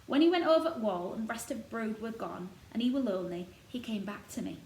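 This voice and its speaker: A woman's voice: a little high, fairly quick, a little mellow, lightly acted. Woman acting a blunt old Yorkshire gardener, roomy echo. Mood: fond, friendly. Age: older. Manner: blunt, rustic dialect speech. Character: gruff old Yorkshire male gardener, blunt, fond of robins. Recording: roomy echo, noticeable background noise.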